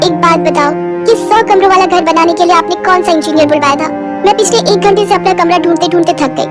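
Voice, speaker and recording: Timid foreign bride, young and naive, played by a woman; very high voice, broken Hindi, storybook drama over music. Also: fast. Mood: bewildered. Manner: broken Hindi with muddled verb genders. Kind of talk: acted dialogue in a moral story. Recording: music behind the voice.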